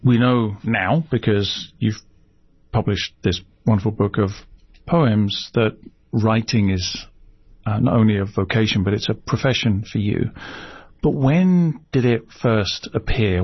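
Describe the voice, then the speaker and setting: A man interviewing a poet with measured, courteous questions. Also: fairly low pitch, slightly rough, no room sound. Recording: dull, dry lo-fi sound.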